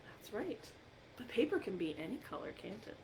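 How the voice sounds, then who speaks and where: A woman leading an interactive storytime for young children as a librarian, encouraging them with questions and pauses for answers, recorded on a computer microphone. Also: mid-range voice, very animated, darkish tone, a little hiss or hum, poor audio. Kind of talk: interactive read-aloud and singing for young children.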